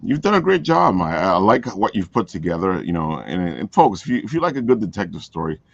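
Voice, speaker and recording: Male host, casual and encouraging, very animated intonation, close dry recording with dulled tone. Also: sits low, fairly quick, vocal fry, no echo. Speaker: show host. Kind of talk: casual chat. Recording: dry, dull lo-fi call audio.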